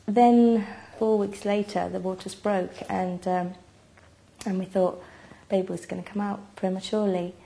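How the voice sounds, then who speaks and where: A woman's voice: medium pitch, level tone, a little slow, gravelly, dark tone. A woman in her thirties from Iceland, a native Icelandic speaker, giving a personal interview account at home. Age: 30s. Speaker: mother telling her family's story. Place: home kitchen, indoors.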